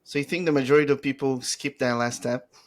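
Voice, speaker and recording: A man from Brazil with a Brazilian Portuguese accent, hosting a podcast and asking rambling, excited questions with many restarts. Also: mid-range voice, fast. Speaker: podcast host. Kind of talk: casual podcast interview.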